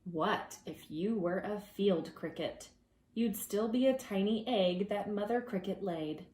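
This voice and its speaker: A woman reading aloud to preschoolers as their teacher, expressive and gentle, drawing out words and adding conversational asides. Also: medium pitch, roomy sound. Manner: gentle, deliberate, drawing out words for young listeners.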